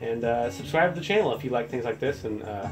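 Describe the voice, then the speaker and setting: A man's voice: medium pitch, animated, fast talker, over music. A man hosting a casual how-to demonstration, explaining things informally, step by step. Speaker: tutorial host. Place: indoors, room with audio equipment. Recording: clear background room noise.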